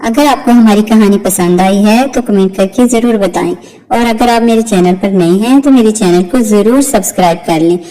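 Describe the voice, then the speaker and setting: A woman's voice: mid-range voice, normal speed, dark tone. A woman narrating a scripted moral story for a cartoon as the storyteller. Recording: long hall-like reverb.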